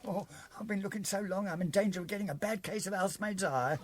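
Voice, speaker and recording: Chirpy high-voiced English crook played by a man. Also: fast talker, crowd noise, close to an everyday voice. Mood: excited reporting. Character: chirpy English convict lookout, excitable, matey. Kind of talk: British radio comedy acting.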